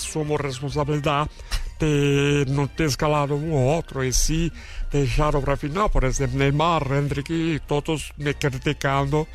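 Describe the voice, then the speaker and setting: A man voicing a middle-aged foreign football coach who stumbles through Portuguese mixed with Spanish, halting and muddled. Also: fairly high pitch. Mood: defensive. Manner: halting, mixing Spanish and Italian-sounding words.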